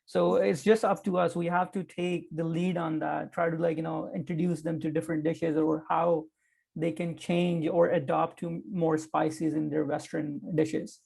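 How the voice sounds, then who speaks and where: Gravelly, flat-voiced young podcast host. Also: medium pitch, normal speed. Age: young adult. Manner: hesitant, filler-laden. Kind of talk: casual podcast discussion.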